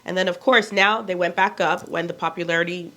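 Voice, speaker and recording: A woman's voice: on the low side, steady tone, fairly quick, a bit bright. A woman in her forties with a Nigerian accent, chatting casually to camera as a fashion vlogger, blunt and candid, asking herself rhetorical questions. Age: 40s.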